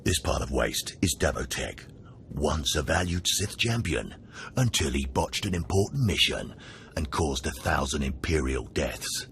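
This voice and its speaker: An actor playing a wry, contemptuous older Sith jailer in a video game, with a sardonic drawl. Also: male voice, rumbling low, big pitch swings, unhurried, a bit bright, a little hiss or hum, full character voice. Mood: wry contempt. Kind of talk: video game cutscene acting.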